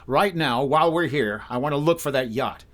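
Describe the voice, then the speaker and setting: A man's voice: mid-range voice, a little fast, fairly bright tone, close to an everyday voice. A man acting a shrewd, wry insurance investigator in a 1950s-style detective radio drama, speaking and narrating with dry confidence. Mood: dry, brisk.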